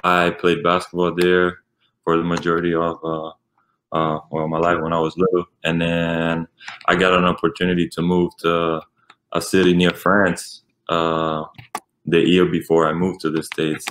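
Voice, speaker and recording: A man's voice: low voice, completely flat delivery, moderate pace, fairly bright tone, slight background noise. A young man from Switzerland, a native Italian speaker and college basketball player, answering interview questions with many fillers. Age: young adult.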